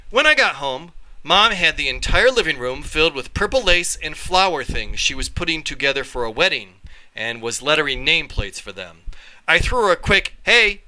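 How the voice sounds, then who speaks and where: A man reading a children's story aloud in an animated, expressive way, with dramatic pauses. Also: a little high, low-quality recording. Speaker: read-along storyteller, teacher.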